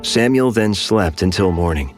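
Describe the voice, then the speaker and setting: A man narrating scripture in a steady, measured storytelling voice for a dramatized audio Bible. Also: low-pitched, over loud music.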